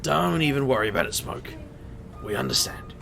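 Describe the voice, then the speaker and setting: An actor playing a scatterbrained, playful, good-natured boss in a fantasy audio drama, stammering and jokey, with a gravelly edge. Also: medium pitch, lively intonation, normal speed, a crowd in the background. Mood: relieved, teasing. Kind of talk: audio drama character acting. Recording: faint noise.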